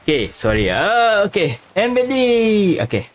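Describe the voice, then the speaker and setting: A man's voice: high-pitched, very animated, unhurried. A man giving casual live commentary as he plays a game, muttering his reactions to himself. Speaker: game streamer.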